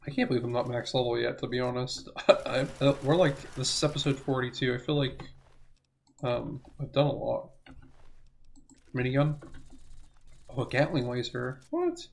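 A young man giving rambling, self-correcting let's play commentary, his voice hoarse as if he is losing it. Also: mid-range voice, normal speed, long pauses. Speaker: gaming channel host.

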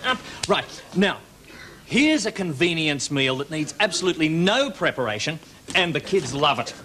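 A man's voice: fairly high pitch, big pitch swings, normal speed, pushed and bright. An Australian man presenting a mock cookery demonstration in a theatrical, mock-earnest way. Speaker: comedy TV cooking presenter. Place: TV studio kitchen set. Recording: noisy old TV audio, studio audience. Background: audience noise and laughter, clicks.

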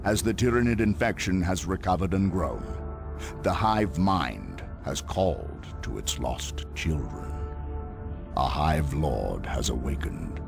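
Very deep, solemn space marine. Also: male voice, animated, vocal fry, exaggerated voice. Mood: ominous. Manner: solemn, measured, ominous. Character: grave, weary armoured male space marine warrior narrator. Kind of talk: dramatic scripted cutscene narration. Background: music.

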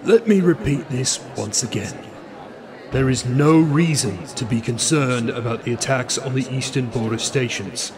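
Male actor as guarded space-navy marshal: formal, controlled, mid-pitched, curtly deflecting questions. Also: unhurried, crowd noise, lightly acted. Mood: measured, guarded. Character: evasive, curt military marshal facing the press. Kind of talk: science fiction audio drama acting.

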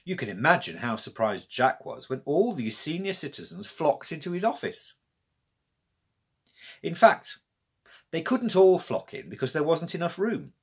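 Middle-aged man, children's storyteller, expressive, deliberate, very varied intonation, slightly husky. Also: mid-range voice. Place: small room with bookshelves, indoors.

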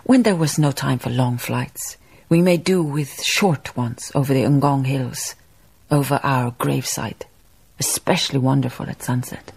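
An actress playing a reflective woman memoirist, narrating a radio drama in a measured, lyrical way, savouring her phrases. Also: extremely low pitch, vocal fry, a little mellow, husky, full character voice. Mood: rapt, nostalgic. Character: reflective, poetic woman memoirist recalling colonial farm life.

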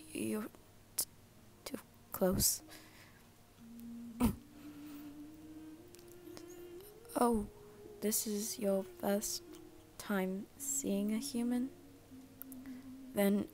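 Woman acting a slow-spoken, guarded teenage anime boy. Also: mid-range voice, a little mellow. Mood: flustered, puzzled. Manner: halting, stammering. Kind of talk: ASMR roleplay acted to the listener. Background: background music.

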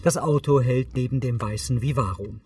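A man's voice: medium pitch, moderate pace, a little mellow, far from the mic. A man reading neutral, scripted audio description for a TV series.

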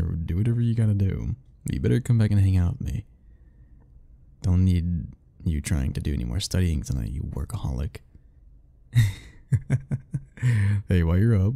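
A man's voice: low-pitched, vocal fry, dark tone. A young man doing an ASMR roleplay as a teasing, easygoing roommate talking to the listener, laughing often.

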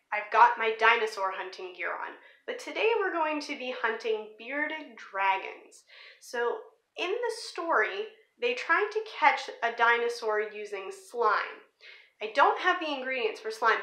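Bright, high-pitched young woman presenting for children. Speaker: teacher reading a picture book aloud for children. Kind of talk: storybook read-aloud and presentation for children. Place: small living room or room at home, indoors.

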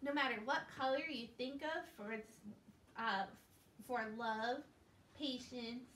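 Woman as children's puppet show host, high voice, encouraging and simple, recorded in an echoey room from a distance. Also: slow, fairly bright tone. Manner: simple, encouraging talk addressed to small children. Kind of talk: scripted children's storytelling. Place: living room, indoors. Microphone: distant camera. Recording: echoey, noisy room, distant camera microphone.

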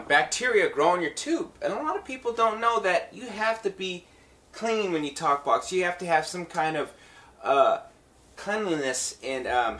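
Bright-voiced man hosting a casual tutorial. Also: a little high. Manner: informal, folksy direct address to viewers. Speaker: tutorial host and talk box musician. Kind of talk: casual instructional demonstration.

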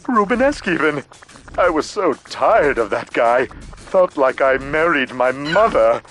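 An actor playing a petty, gleefully mean mad scientist in a video game cartoon, sarcastic and gloating. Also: male voice, fairly high pitch, expressive, unhurried, a bit bright, soft background music. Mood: smug sarcasm. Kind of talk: animated video game cutscene acting.

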